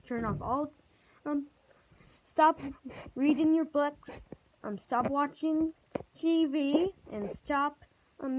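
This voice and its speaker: A woman with a high, soft-edged voice delivers a homemade emergency alert. She reads slowly and haltingly, stumbling over her words. The recording is dull and slightly noisy. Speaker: vlogger acting as emergency alert announcer. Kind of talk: homemade mock emergency broadcast announcement. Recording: dull, muffled home recording with noise.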